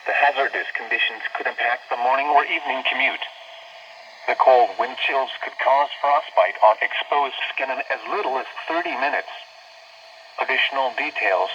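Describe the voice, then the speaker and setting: Bright, band-limited synthetic male weather announcer. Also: mid-range voice, lo-fi sound. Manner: stiff, synthetic, evenly measured reading. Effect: radio broadcast. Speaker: automated weather radio announcer. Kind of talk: scripted weather bulletin, read out. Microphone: radio receiver speaker picked up by recorder. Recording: band-limited, noisy weather radio speaker.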